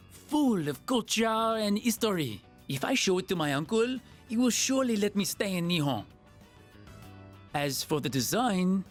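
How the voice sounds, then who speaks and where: A man's voice: high-pitched, husky, full character voice. A man voicing an earnest foreign teenage boy in a video game, speaking formally and grandly, as if declaiming. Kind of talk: video game character dialogue.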